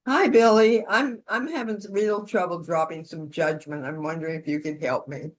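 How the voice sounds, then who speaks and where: A woman's voice: on the low side, moderate pace. A woman leading an instructional session in an informal, conversational way, with role-played support calls. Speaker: session leader demonstrating peer-support calls.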